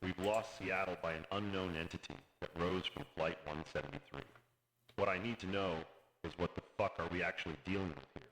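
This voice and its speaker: A man's voice: deep voice, steady tone, brisk, fairly bright tone, slight background noise, rough recording. A man playing an exasperated, commanding president in a horror animation. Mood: angry, demanding.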